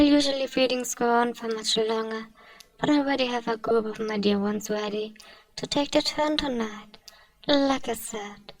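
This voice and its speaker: A genteel female vampire, acted by a woman for an ASMR roleplay, speaks in a soft, fairly high voice with a creaky edge. She coaxes kindly and very unhurriedly, often dropping toward a whisper. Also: distant mic, rough recording. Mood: soothing, teasing. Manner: soothing, coaxing, genteel endearments. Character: kind, genteel, doting female vampire mistress.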